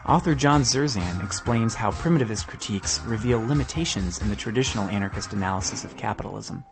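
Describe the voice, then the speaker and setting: A man reading scripted narration as a podcast host, with a husky edge to his voice. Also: lowish voice, expressive, fast, over music.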